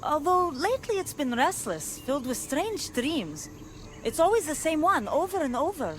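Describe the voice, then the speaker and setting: A woman's voice: high-pitched, sing-song, normal speed, slight background noise. An actress playing an anxious, starstruck young woman in a video game, gushing and pleading.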